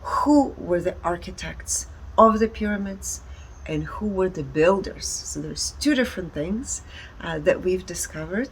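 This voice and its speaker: A woman giving a conversational, explanatory presentation in deliberate short phrases. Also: fairly low pitch, poor audio. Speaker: speaker presenting energy readings. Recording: background ambient noise.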